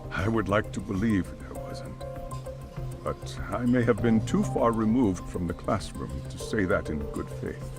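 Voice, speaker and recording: A man's voice: lowish voice, animated, a little slow. An actor playing a grave, courteous older school chairman in a video game drama, speaking formally and with hesitation. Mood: regretful, grave. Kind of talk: video game cutscene acting. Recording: background music under the dialogue.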